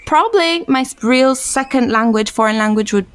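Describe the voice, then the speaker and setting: A woman's voice: sits high, brisk, no echo. A woman in her twenties from Belize, a podcast guest telling her story in an earnest, reflective way. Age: 20s. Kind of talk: podcast interview.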